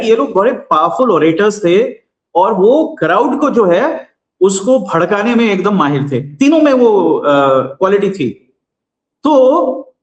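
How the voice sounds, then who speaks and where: A man, a guest commentator, explaining politics and history in a measured, lecturing way with pauses. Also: high-pitched, reverberant.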